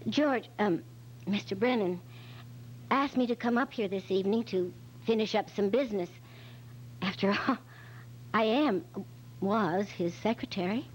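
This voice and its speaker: A woman's voice: mid-range voice, measured pace, pauses often, natural voice. A woman playing a flustered, anxious secretary who hesitates and stammers as a witness in an amateur radio detective drama. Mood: hesitant, explaining. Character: nervous, flustered female secretary, evasive witness. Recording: dull, lo-fi recording with faint hum.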